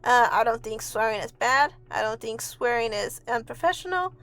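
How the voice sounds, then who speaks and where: A woman's voice: on the high side, animated, normal speed, fairly bright tone. A woman from Mexico, giving a casual, rambling voice-over in an offhand, self-deprecating, sweary way. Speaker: video artist and host. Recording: amateur home recording with music underneath. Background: music.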